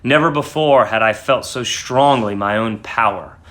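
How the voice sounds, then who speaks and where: An agitated madman confesses and insists on his sanity in a gothic short story read out by a man. The voice is medium-pitched, bright and tense. The delivery is theatrical and intense, moving between hushes and swells. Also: normal speed, lightly acted. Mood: intent, secretive.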